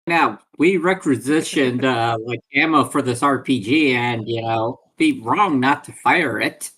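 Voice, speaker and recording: A man's voice: mid-range voice, unhurried, a bit bright, slightly rough. A man chatting casually as a player in a tabletop roleplaying game.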